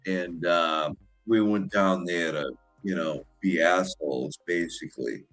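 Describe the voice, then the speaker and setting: A man's voice: low-pitched, a little slow, a bit bright, faint music behind. A man hosting a call-in show, telling a crude story in a rambling, casual way with lots of fillers.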